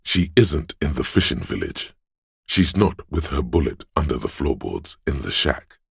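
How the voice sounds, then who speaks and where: Disembodied scholarly mind, game narration, heavy gravelly creak, dry close sound, measured, pedantic. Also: male voice, very animated, a bit bright, no echo, exaggerated voice. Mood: matter-of-fact. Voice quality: very deep, gravelly, husky.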